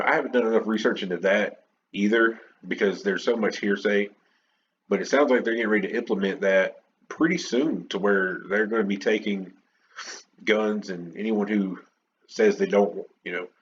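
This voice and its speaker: Low-pitched American man, dull noisy recording. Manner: rambling, conversational. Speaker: podcast host. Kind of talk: unscripted opinion monologue, casual chat. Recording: dull lo-fi audio, clear background noise.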